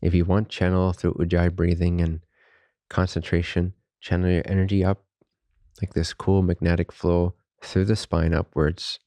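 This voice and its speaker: A man guides a meditation in a very low, mellow voice. He speaks softly and calmly, leaving long pauses between reverent phrases. His delivery stays very even, close and dry. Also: no room sound. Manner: calm, unhurried, reverent spiritual phrasing. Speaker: meditation teacher.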